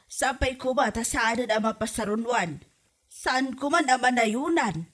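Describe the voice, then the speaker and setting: A woman's voice: fairly high pitch, expressive, a little slow, a bit bright. A woman with an Ilocano accent playing a nagging, exasperated older mother in a comedy drama. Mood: scolding. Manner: nagging, reproachful.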